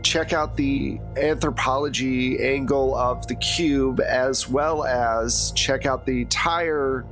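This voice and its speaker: A man running a tabletop game as game master, recapping the story in a relaxed, chatty way. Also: mid-range voice. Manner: relaxed, chatty narration with fillers.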